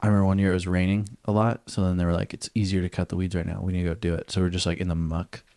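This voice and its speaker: Young male podcast co-host, quick low voice, crude casual banter. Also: little pitch movement, gravelly, darkish tone, clean tone. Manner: loose, swearing, joking. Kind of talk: casual chat.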